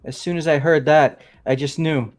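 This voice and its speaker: A man's voice: a little high, fairly even intonation, normal speed, faint music behind, lightly acted. A man voicing a nervous young man who rambles defensively through a confession, as fan voice acting of a game. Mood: anxious.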